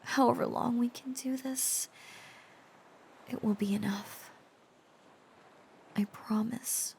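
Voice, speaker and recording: A woman playing a tender, protective dragon-blooded girlfriend in an intimate romantic audio roleplay, halting and earnest, often slipping into whispers. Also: on the high side, steady tone, creaky, a little mellow, slight background noise. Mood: tender, adoring. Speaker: voice actor.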